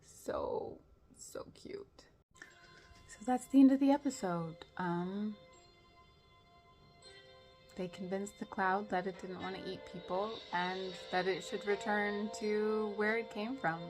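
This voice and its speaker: A woman giving casual reaction commentary as a show host, expressive and playfully dramatic, with a self-deprecating way of talking. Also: medium pitch, unhurried, soft background music.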